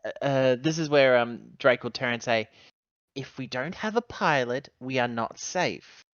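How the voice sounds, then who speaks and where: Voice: mid-range voice, unhurried, dry recording, muffled recording. A player chatting casually and speaking in character during a tabletop roleplaying game.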